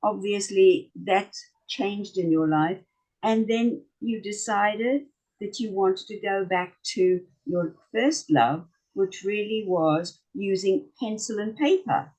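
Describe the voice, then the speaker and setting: A woman's voice: mid-range voice, slow pace, darkish tone, rough recording. A woman with a South African accent hosting a talk show and interviewing a guest with friendly, leading questions.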